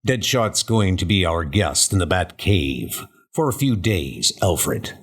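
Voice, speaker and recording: Man doing grim, low-pitched masked crime fighter. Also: sing-song, slow pace, exaggerated voice. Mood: grim, businesslike. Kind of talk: comic book audio drama.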